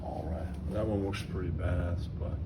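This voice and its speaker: A man's voice: deep voice, steady tone, moderate pace, gravelly, heavy background noise. A man muttering offhand, casual commentary, mumbling and sometimes swearing. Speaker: host of a homemade puppet show.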